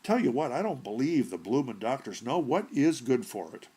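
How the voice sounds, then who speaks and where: A man voicing a brash, folksy burglar in a short story reading, with gravelly, husky voice and blunt, colloquial delivery. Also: medium pitch, big pitch swings, moderate pace, dark tone, voice pushed up. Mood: chummy, complaining. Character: brash, folksy, slangy male burglar with rheumatism.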